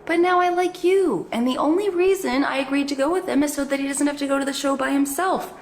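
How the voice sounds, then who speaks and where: A woman playing a sweet, flattering female hairstylist in a comedy skit, earnest and soothing. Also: high-pitched, fast talker, roomy sound, a little hiss or hum, pitched above the performer's own voice, close to an everyday voice. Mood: placating.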